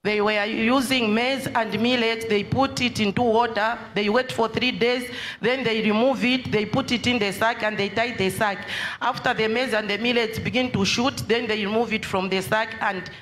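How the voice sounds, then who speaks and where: A woman interpreting a testimony in short, measured phrases. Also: medium pitch, a bit bright. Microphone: handheld microphone. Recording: handheld mic, faint hall noise.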